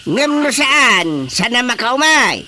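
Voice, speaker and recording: A man's voice: very high voice, sing-song, takes it slowly, a crowd in the background, full character voice. An actor with an Ilocano accent playing a bossy, exasperated village chairman in a radio drama comedy. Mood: exasperated, commanding.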